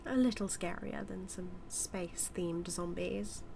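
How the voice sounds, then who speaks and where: A British-accented woman playing a smug, manipulative evil magician in a scripted audio roleplay, teasing and taunting with deliberate, drawn-out phrasing. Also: on the low side, vocal fry, warm tone, slight background noise. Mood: smug, explaining.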